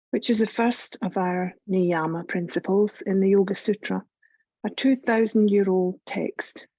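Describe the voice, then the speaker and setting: A woman leading a guided meditation as a yoga teacher, speaking in a soothing, unhurried, instructive way. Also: mid-range voice, a little mellow, husky, dry recording, highs cut off. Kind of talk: guided meditation, scripted narration.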